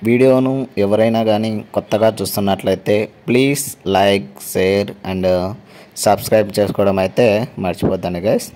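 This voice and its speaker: A man's voice: fairly low pitch, a little mellow. A man hosting an informal explainer video, addressing viewers directly and politely. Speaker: host of an exam information channel.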